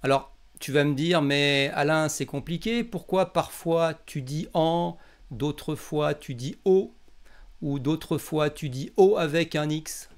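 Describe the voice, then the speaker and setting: A man's voice: on the high side, takes it slowly. A man from France with a French accent, teaching a language lesson in a didactic way and carefully enunciating example phrases.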